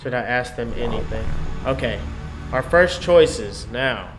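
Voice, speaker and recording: A man's voice: mid-range voice, lively intonation, unhurried, poor audio. A man hosting a gaming video, reading a story aloud and dropping in casual, wry asides. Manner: reads lines aloud, drops into wry commentary. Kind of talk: game narration with casual asides. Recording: loud game music behind.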